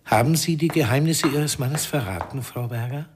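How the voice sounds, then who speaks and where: An actor playing an insinuating, seemingly harmless stalker and informant in a radio crime drama, measured in his delivery. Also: medium pitch, background noise, natural voice. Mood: sly, menacing.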